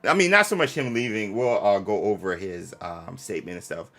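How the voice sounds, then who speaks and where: A man's voice: lowish voice, a little fast, bright, forceful tone. A man hosting a livestream, chatting casually and playfully with viewers in informal slang. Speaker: live stream host.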